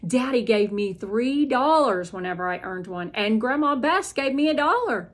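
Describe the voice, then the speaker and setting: A woman narrating a children's novel as an expressive, deliberate read-aloud. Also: on the high side.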